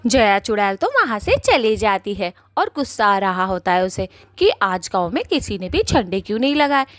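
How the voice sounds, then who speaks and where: A woman's voice: mid-range voice, very animated, normal speed, a bit bright, no echo, clean studio sound. A woman narrating a scripted cartoon story as its storyteller.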